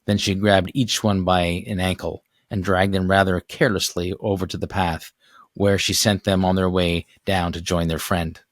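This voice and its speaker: Male storybook narrator, deep voice, dry close recording, reading scripted adventure fiction. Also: level tone, normal speed, no echo. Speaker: author reading own book aloud.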